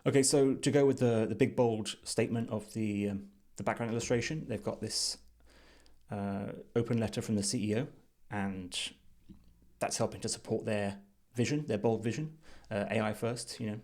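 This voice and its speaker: Low-voiced man giving thoughtful commentary. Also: normal speed, a little mellow. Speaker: design reviewer. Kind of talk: unscripted screen-share commentary.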